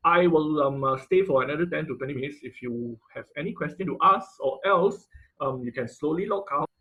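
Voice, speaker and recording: Male online instructor, slightly high-pitched, informal and halting with repeated words, on a dull video call. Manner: halting, repeats words and rephrases mid-sentence. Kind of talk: informal live online technical lesson with question and answer. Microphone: video call microphone.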